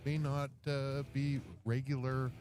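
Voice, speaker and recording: A man commentating live on a strongman competition at an outdoor fair, calm and explanatory. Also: medium pitch, unhurried. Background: guitar music.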